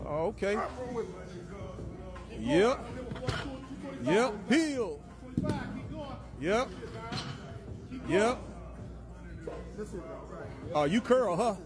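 A man's voice: sits high, lively intonation, drawn-out words, pauses often, crowd noise. A man in his forties filming in a large indoor gym on a handheld phone, hyping lifters with chanted catchphrases and counting reps. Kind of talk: hype commentary on a workout. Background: loud music.

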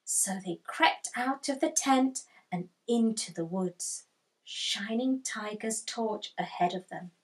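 A woman in her thirties reading a picture book aloud to children, expressive and deliberate, as a storytime host. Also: medium pitch, poor audio. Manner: expressive, deliberate, asks listeners questions. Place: small furnished room indoors with bookshelves.

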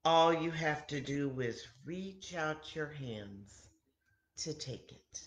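Older woman, 60s, children's storyteller; low register, deliberate and pausing, roomy home webcam sound. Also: lively intonation. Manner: simple, deliberate phrasing with frequent pauses. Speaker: children's story time host at a church. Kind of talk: storytelling to children, reading aloud. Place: home kitchen, indoors.

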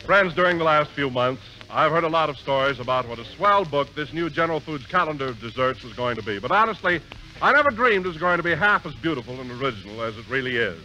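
Hearty radio ad announcer guy, bright forceful voice, muffled old broadcast. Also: lively intonation, fast talker, soft background music. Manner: hearty, enthusiastic pitchman. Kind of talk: scripted radio commercial.

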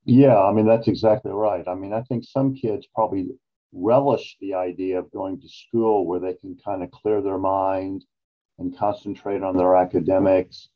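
A man in his sixties explaining things as an expert guest in a measured, emphatic way on a video call. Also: low-pitched, fairly even intonation. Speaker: psychologist interview guest. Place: small office, indoors. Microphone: video call computer microphone. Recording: dull, lo-fi video-call audio.

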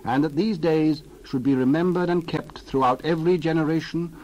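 A man narrating a dramatized scripture reading in a measured, solemn way with deliberate phrasing. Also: on the high side, a little mellow, a little hiss or hum, low-quality recording. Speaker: Bible narrator.

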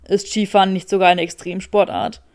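A woman's voice: mid-range voice, level tone, fairly quick, darkish tone, lightly acted. A woman voicing a cocky, sardonic young man with a sarcastic drawl, in an audiobook story. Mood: argumentative.

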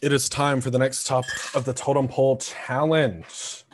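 A man hosting an online elimination game, announcing the rules in a steady, formal way over a video call. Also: mid-range voice, normal speed, slight background noise. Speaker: game host.

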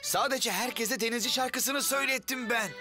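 A man's voice: very high voice, moderate pace. A man voicing a modest, friendly fisherman in a children's cartoon dub, good-natured in his talk. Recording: loud music behind the voice.

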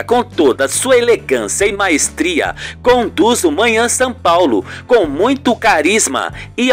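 A woman narrating a scripted radio soap opera as its storyteller. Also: fairly low pitch.

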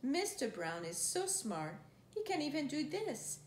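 Animated high-voiced woman reading to kids. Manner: expressive, drawn-out words and imitated noises. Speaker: storyteller reading a children's book. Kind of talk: animated picture-book read-aloud with playful sound effects. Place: living room couch, indoors. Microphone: camera a few feet away. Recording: roomy, echoey sound with faint noise.

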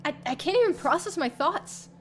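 A woman's voice: very high voice, expressive, normal speed. A young adult woman streamer reading a visual novel aloud in dramatic first-person narration. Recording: faint music behind.

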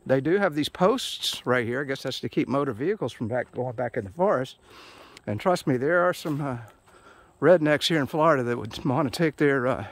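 Rambling middle-aged man on a forest walk. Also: fairly high pitch. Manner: rambling, self-mocking, many fillers. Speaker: hiking vlogger. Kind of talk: casual walking commentary. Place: forest trail outdoors. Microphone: phone camera held while walking.

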